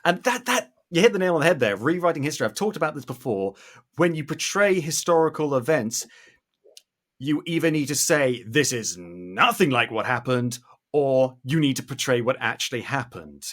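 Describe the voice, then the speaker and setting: A British man in his thirties hosts a podcast in a mid-range voice. He gives animated, opinionated commentary and leans on key words with theatrical emphasis. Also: medium pitch, moderate pace. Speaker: podcast host.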